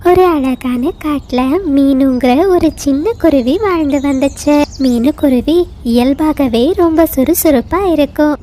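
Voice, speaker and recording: A woman's voice: high voice, mellow, a little hiss or hum. A woman narrating a scripted moral story for children.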